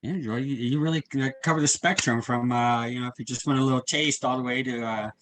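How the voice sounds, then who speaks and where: A man's voice: mid-range voice, steady tone, fast talker, a bit bright. A man interviewing a guest in a casual, agreeable and encouraging way over a video call. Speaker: interviewer, host.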